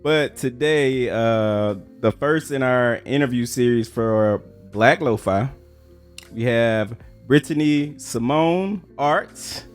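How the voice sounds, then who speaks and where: Casting: American male interviewer, mid pitch, relaxed slangy conversational delivery, light music bed. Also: slow pace, smooth. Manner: laid-back, slangy, informal. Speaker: interviewer, host. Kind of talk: casual interview chat.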